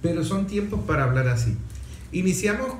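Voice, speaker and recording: A man's voice: a little high, animated, a little fast. A Venezuelan man hosting a radio talk show in a reflective, didactic, conversational way, posing rhetorical questions. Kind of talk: conversational radio talk about environmental issues. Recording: echoey room with background noise.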